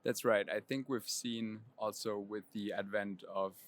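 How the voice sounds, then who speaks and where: Deep-voiced man, measured. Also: steady tone. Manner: measured, precise, with frequent "um". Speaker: immunology professor. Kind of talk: interview answers. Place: convention center floor.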